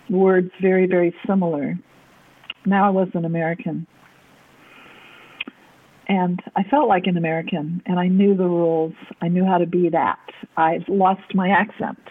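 A middle-aged woman, a native German speaker, gives a testimony sermon from a church podium. Her voice is husky and a little breathy, and she tells her story earnestly, doubling words for weight. The audio is thin and tinny, like a phone line. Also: medium pitch. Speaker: preacher.

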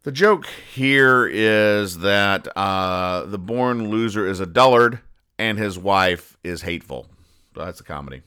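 A man hosting a podcast in a casual recap style, with a deadpan, dryly sarcastic delivery. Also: lowish voice, measured pace, clean tone. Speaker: podcast host. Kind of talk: casual comic-strip recap monologue.

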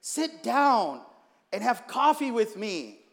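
A man puts on a knowing old grandfather in a spoken story. He is calm and insistent, giving simple instructions, and his words ring out in long reverb. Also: very high-pitched, slow, gravelly, pitched above the performer's own voice, exaggerated voice. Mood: patient insistence.

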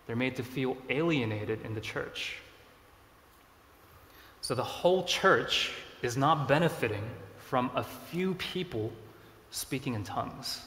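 A man in his thirties, originally from Korea, preaching a sermon in a relaxed, conversational way with self-deprecating asides. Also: mid-range voice. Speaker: pastor. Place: church sanctuary. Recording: echoey church hall.

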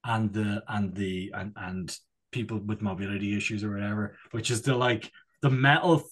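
A man's voice: fairly low pitch, steady tone, normal speed, a bit bright. A man chatting casually as a comedy podcast co-host, rambling and digressing with a jokey manner.

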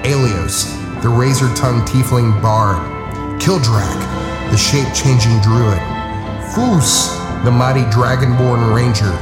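A man's voice: medium pitch, slow. A man delivering a dramatic scripted fantasy podcast introduction with comic asides, as an announcer. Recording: roomy voice over loud music. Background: loud music.

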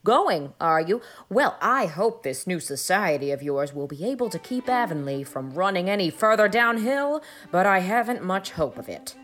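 A woman's voice: lowish voice, very animated, normal speed, hardly any pauses, a bit bright. A woman voicing a grim, contemptuous spinster in her fifties, a born pessimist, in an audiobook story. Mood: sour gloom. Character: grim, sour fifty-seven-year-old spinster, a born pessimist. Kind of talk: audiobook character dialogue.

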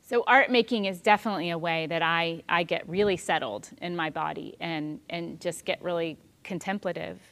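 A woman in her thirties giving a calm, thoughtful spiritual talk on prayer, with soft pauses. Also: on the low side, soft background music.

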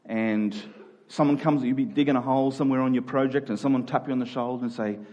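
A man's voice: medium pitch, steady tone, fast talker, darkish tone, lo-fi sound. A man preaching in a conversational, earnest and self-deprecating way, thinking aloud and repeating phrases as he goes. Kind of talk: conversational sermon.

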